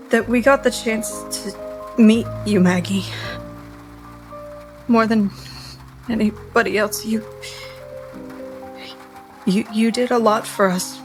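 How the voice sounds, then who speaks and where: A woman with a fairly high voice improvises in a tabletop roleplaying game. She speaks very slowly and haltingly, repeating words, with faint music behind her. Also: steady tone.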